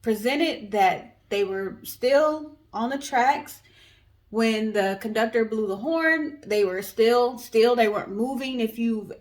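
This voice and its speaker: A woman's voice: on the high side, takes it slowly. A woman co-hosting a storytelling guessing show, telling stories in a casual, conversational way.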